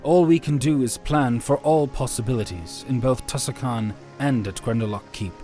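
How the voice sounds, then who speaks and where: An earnest, burdened male hero acted by a man in a fantasy audio story. His mid-range voice is deliberate and worried, with light music behind it. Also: medium pitch, voice pushed up, close to an everyday voice.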